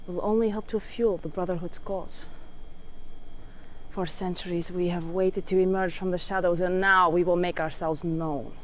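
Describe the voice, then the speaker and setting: An actress playing a cold, calculating Soviet spy chief in a video game cutscene, measured and coolly commanding, with noise behind her. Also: mid-range voice, close to an everyday voice, poor audio. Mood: cold, ominous. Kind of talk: dramatic acting in 1990s game cutscene.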